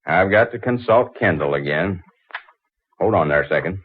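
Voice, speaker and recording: Deep-voiced, eager, awkward inventor. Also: male voice, animated, normal speed, breathy edge. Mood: earnest, friendly. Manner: hesitant, stammering, earnest. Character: eager, awkward, persistent male inventor wooing a librarian. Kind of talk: old-time radio drama acting. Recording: muffled, tinny old-time radio recording.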